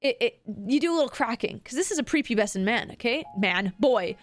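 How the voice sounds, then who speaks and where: High-pitched young woman, giggly and chatty, faint music behind. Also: expressive, brisk. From Canada. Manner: chatty, giggly, swears casually. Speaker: streamer. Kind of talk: casual livestream voice lesson.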